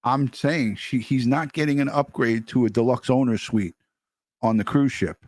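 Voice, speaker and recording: A man's voice: mid-range voice, normal speed, a little mellow, dry recording. Sarcastic guy host doing off-the-cuff commentary, real scornful, dry close sound. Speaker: show host commenting on a recorded call.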